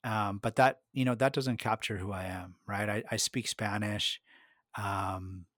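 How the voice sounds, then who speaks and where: A man's voice: lowish voice, fairly even intonation, a little slow, a bit bright, dry recording, studio quality. A middle-aged man, a market researcher and podcast guest, giving a thoughtful conversational answer full of fillers and restarts. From United States.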